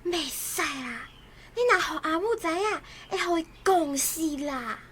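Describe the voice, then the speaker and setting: A woman's voice: very high voice, expressive, a little slow, fairly bright tone, slight background noise, full character voice. A woman with a Taiwanese accent playing a worried, indignant little child in a radio drama.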